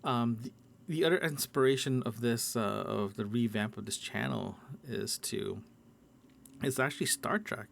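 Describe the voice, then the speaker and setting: Gravelly man talking casually, halting. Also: mid-range voice, a little hiss or hum. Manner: halting, thinking aloud, repeating words. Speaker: podcast host. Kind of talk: personal audio log, casual solo talk. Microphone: small handheld recorder.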